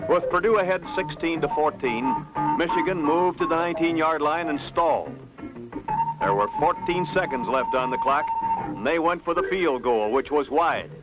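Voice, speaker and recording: Higher-pitched American male newsreel narrator. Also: normal speed, low-quality recording. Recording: 1970s film soundtrack with music. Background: music.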